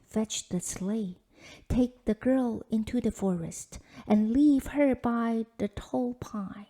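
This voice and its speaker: A woman's voice: on the high side, takes it slowly, dark tone. A woman voicing a cruel stepmother from a folktale, commanding and scornful.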